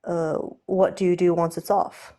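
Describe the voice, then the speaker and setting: A bearded sailor man , as a woman narrator plays him, plain-spoken and curious. He talks bluntly and casually in a mellow storybook voice. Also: lowish voice, flat delivery, normal speed, close to an everyday voice. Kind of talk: audiobook character voice.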